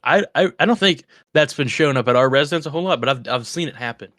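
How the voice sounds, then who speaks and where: A man's voice: medium pitch, animated, fast talker, fairly bright tone, no room sound. Male co-host, chatty and rambling, dry studio sound. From West Virginia. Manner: rambling, enthusiastic food talk with restarts. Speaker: podcast co-host. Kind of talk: casual chat.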